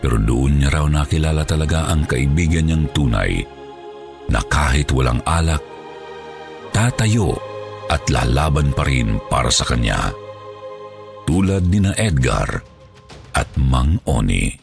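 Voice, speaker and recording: A man's voice: rumbling low, animated, unhurried, creaky, long pauses. A man narrating a scripted horror story in a dramatic, solemn manner. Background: background music.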